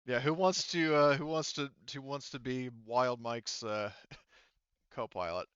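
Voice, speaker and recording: A man's voice: mid-range voice, a little slow, a bit bright, clear, resonant tone, no echo, lo-fi sound. A man explaining tabletop game rules as game master, casually rambling and thinking aloud.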